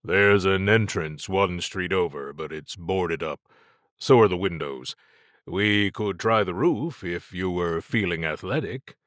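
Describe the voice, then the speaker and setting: A man's voice: deep voice, a little slow, a bit bright, no room sound. A man voicing a weary, guarded male federal agent with a terse, dry way of talking in an audiobook. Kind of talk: audiobook character dialogue.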